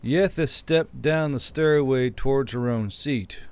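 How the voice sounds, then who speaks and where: A man's voice: mid-range voice, a little slow, a little mellow, smooth, no echo. A man narrating a fiction audiobook from a script.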